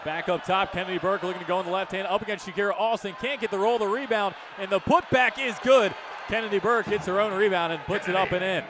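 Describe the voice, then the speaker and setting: A man doing live radio basketball play-by-play, projecting excited, rapid-fire calls. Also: high voice. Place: courtside in a basketball arena. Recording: loud arena crowd noise behind. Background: crowd, bouncing ball.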